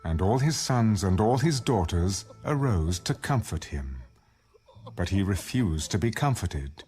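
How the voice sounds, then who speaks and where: A man's voice: deep voice, lively intonation, a little slow, darkish tone, faint music behind. A man performing as a solemn narrator in a dramatized audio Bible, reading scripted scripture. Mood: solemn narration.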